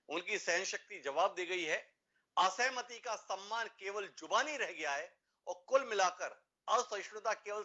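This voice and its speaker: A man's voice: high voice, sharp tone, muffled recording. A man hosting a formal debate show, speaking emphatically and posing rhetorical questions.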